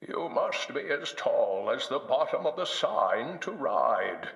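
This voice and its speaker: Ancient scholar, grave and hammy, very slow, widely swooping pitch, video game announcer. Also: male voice, sing-song, creaky, fairly bright tone, heavily acted. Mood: hammy, mocking. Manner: theatrical, drawn-out, hammy delivery. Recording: echoey, with music behind.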